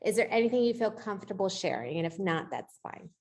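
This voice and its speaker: A woman's voice: medium pitch, animated, fast talker, darkish tone. A middle-aged woman coaching in an informal, conversational way, encouraging and often checking in with short rhetorical questions. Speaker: real estate coach leading a coaching session.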